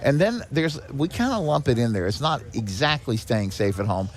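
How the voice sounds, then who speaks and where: A man speaks as a guest on a podcast. His voice sits at a middle pitch. He explains his points conversationally, often doubling back and repeating words as he goes. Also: expressive, moderate pace, faint music behind. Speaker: guest, director of a seniors' charity.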